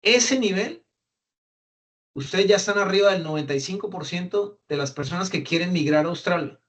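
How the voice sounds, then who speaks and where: A young Colombian man with a Colombian accent and a voice set somewhat high for a man. He talks casually to a group, filling gaps with "eh" and restarting his phrases as he thinks. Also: animated, moderate pace, a bit bright. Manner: conversational, many fillers and restarts, mimics English phrases. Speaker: guest speaker on migration. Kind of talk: casual talk to an audience.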